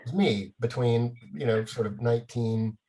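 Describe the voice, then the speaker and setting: A middle-aged man, a playwright, telling casual anecdotes in an animated, self-deprecating way with chuckles. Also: mid-range voice, normal speed.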